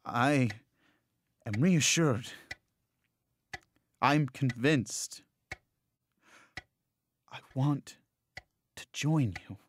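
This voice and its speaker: A woman playing an aging stage actress who longs for life beyond death, speaking slowly and breathlessly, her voice climbing as she grows alarmed. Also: very deep voice, a little hiss or hum, full character voice. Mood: eager. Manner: slow, breathless, rising to alarm.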